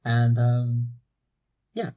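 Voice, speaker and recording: A young man from Portugal, a university student, co-hosting a casual podcast chat, relaxed and swearing freely on a cheap mic. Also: mid-range voice, drawn-out words. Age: young adult. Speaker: podcast co-host. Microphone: cheap low-quality mic.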